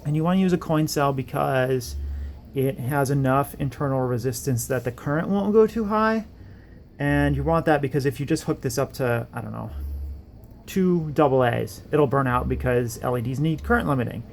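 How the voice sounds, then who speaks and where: A man narrates a hands-on electronics project in a casual, unscripted ramble. His mid-pitched voice has a lot of gravelly creak, and he tends to poke fun at his own work. There is faint noise behind him. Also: moderate pace. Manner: rambling, self-deprecating. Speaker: electronics hobbyist host. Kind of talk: casual workbench build narration.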